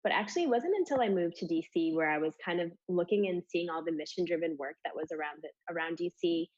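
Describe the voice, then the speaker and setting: A woman's voice: on the low side, quick pace, a little mellow, no room sound. A woman from Rwanda giving enthusiastic, informal interview answers about her charity work, on lo-fi audio. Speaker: charity communications manager, interview guest.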